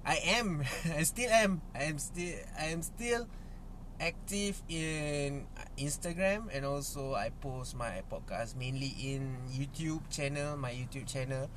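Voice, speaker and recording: A young Malaysian man, a native Malay speaker, talks casually in English on his own podcast. He speaks unhurriedly with a slightly raised pitch, stumbling through fillers and restarts. A faint hiss runs behind his voice. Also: a bit bright, rough recording. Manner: halting, many fillers and restarts, chuckles. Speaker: podcast host. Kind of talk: casual solo podcast intro.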